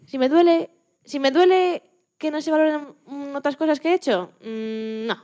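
A woman from playing a lively, joking retired Antarctic scientist in her eighties in a radio interview, often restarting her sentences. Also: high voice, close to an everyday voice. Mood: matter-of-fact. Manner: chatty, joking, halting with restarts. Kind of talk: scripted mock radio interview.

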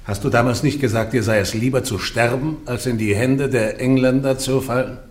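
An actor playing a stern church interrogator who fires pointed questions at the accused in a radio play. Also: male voice, medium pitch, steady tone, brisk, lightly acted. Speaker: trial interrogator. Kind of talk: classic radio play acting. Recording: roomy echo.

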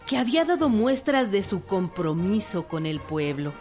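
A woman's voice: medium pitch, big pitch swings, moderate pace, a little mellow, faint music behind. A woman reading scripted historical narration for a radio drama.

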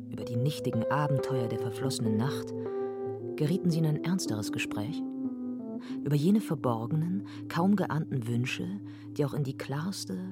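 A man narrating a literary radio play in a measured storytelling manner. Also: on the high side. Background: loud instrumental music.

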